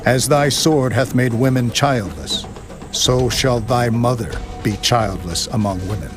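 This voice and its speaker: An actor playing a stern, unyielding old Hebrew prophet in dramatized scripture audio, speaking in a solemn, measured declamation. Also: male voice, mid-range voice, music in the background, natural voice. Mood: stern rebuke.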